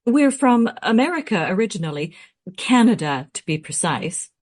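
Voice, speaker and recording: A woman's voice: mid-range voice, big pitch swings, normal speed, a little mellow. A woman playing a polite, curious member of a documentary crew in a radio play. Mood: candid.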